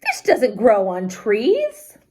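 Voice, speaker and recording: A woman voicing a farmer's excitable, skeptical wife in a children's storybook, exclaiming in disbelief. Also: fairly high pitch, slow pace, heavily acted. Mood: incredulous. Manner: exclaiming, incredulous.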